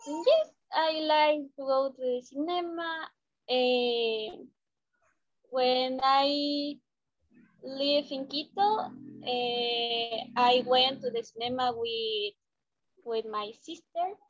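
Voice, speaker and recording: A woman's voice: high voice, very slow. A young woman from Ecuador with an Ecuadorian Spanish accent, a native Spanish speaker answering interview questions in English, hesitant and full of fillers. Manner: hesitant, many fillers and restarts. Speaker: interviewee, university student. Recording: dull lo-fi sound.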